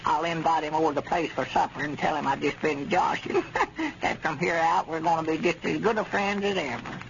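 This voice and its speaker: High, creaky country storekeeper. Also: male voice, steady tone, fairly quick, fairly bright tone. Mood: mischievous. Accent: rural Southern US. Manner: folksy, drawling, dialect grammar. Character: bossy, folksy older country storekeeper, male. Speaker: radio comedy actor. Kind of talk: 1930s radio comedy acting. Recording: scratchy, muffled 1930s radio recording.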